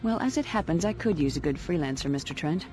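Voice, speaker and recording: An actress playing a businesslike, no-nonsense security officer in a video game, with a brisk, professional manner. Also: female voice, deep voice, expressive, darkish tone, soft background music. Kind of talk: video game cutscene acting.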